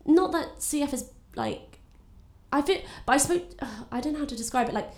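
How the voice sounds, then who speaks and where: A woman's voice: high voice, animated, normal speed. A young woman with a nasal voice chatting casually as a guest, talking openly and filling her speech with "like". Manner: chatty, full of fillers. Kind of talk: casual chat. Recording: echoey room.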